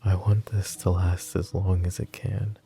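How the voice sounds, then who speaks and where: A man voicing a wry, tender mafia boss in an ASMR roleplay, soft-spoken and teasing. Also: low-pitched, little pitch movement, unhurried, creaky. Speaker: voice actor.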